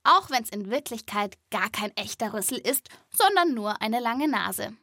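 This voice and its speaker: A woman's voice: a little high, lively intonation, a little slow, bright, forceful tone, no echo, clean studio sound. A woman hosting a children's podcast, explaining things in playful, colloquial scripted narration.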